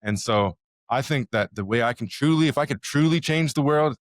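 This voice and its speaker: A man's voice: medium pitch, moderate pace, dry recording, clean studio sound. A man who hosts a podcast and teaches as a professor, talking earnestly and reflectively, often saying "you know". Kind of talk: casual conversation. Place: live recording at a conference.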